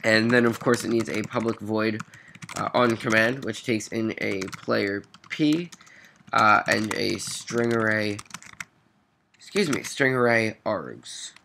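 A bright-voiced man hosts a coding walkthrough, sounding nasal and congested with a cold. He talks it through haltingly, correcting himself along the way. There is faint noise behind him, with keyboard typing and clicks. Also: lowish voice, creaky. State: stuffy nose from a cold. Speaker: programming tutorial host. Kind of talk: informal coding walkthrough, thinking aloud. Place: at a computer, screen recording voice-over. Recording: noisy mic with keyboard clicks.

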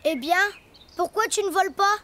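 An actor playing an excitable, imaginative little boy donkey in a preschool cartoon, childlike and eager. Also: very high-pitched, moderate pace, music in the background. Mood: excited.

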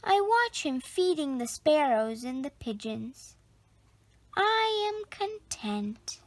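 A woman reading a children's picture book aloud expressively, with deliberate phrasing and dramatic pauses. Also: sits high, big pitch swings, no room sound. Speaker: storybook reader.

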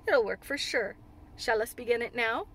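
A man's voice: extremely high pitch, moderate pace, pushed and bright, a little hiss or hum, exaggerated voice. A woman voicing a cheerful, innocent Yorkshire country boy in a storybook, reassuring in homely dialect. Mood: assured.

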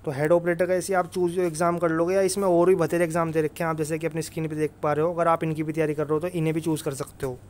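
Male exam-prep presenter, slightly high voice, informal and instructive with emphatic repetition. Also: flat delivery, fast talker, few pauses, darkish tone. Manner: instructive, emphatic, repeats tag phrases. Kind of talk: informal explainer over screen recording.

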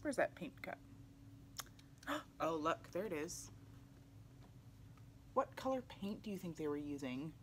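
A young adult woman reading a children's picture book aloud in an animated way, putting questions to young listeners. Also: deep voice, measured pace, pauses often, a little hiss or hum. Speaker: read-aloud storyteller for children. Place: small indoor room, seated in armchair.

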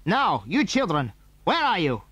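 An angry, suspicious foreign enemy as a male reader plays him in a children's storybook. He barks commands in stilted English, with a raised pitch that swings widely, recorded close and dry. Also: huge pitch swings, measured pace, a bit bright, slightly rough, no room sound, full character voice. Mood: stern, demanding. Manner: barking, demanding, stilted English. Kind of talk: children's audiobook character.

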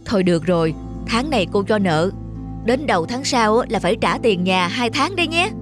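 A woman's voice: medium pitch, animated, brisk, lightly acted. A woman voicing a practical, admonishing middle-aged landlady in a cartoon. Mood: exasperated. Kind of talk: animated moral-story dialogue. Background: background music.

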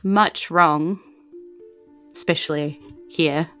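A woman at a game table plays a gentle female healer who sells potions. Her voice sits fairly low and she speaks cautiously, in halting, measured phrases. Music plays faintly behind her. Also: fairly even intonation. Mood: grave.

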